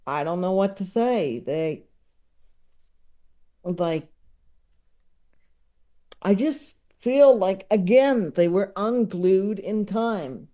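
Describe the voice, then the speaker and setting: Mellow-voiced man, thinking aloud. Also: high voice, animated, drawn-out words, long pauses. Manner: hesitant, thinking aloud, analytical. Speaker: podcast guest, comedy enthusiast. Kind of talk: casual opinionated film chat.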